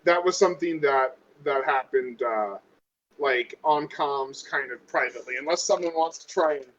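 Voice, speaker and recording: A man's voice: medium pitch, moderate pace, a bit bright. A man casually talking through a tabletop game out of character as a player, thinking aloud in a hesitant way. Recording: dull lo-fi audio.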